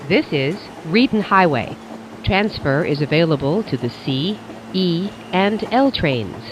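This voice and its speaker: A train announcer reading scripted automated station announcements in a measured, formulaic way. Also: mid-range voice, animated, noisy background.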